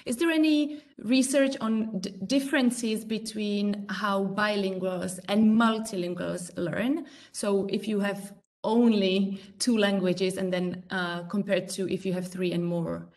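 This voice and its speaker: A woman's voice: medium pitch, fairly even intonation, measured pace, darkish tone. A woman, a native Czech speaker, moderating a Q&A and reading audience questions with hesitant fillers.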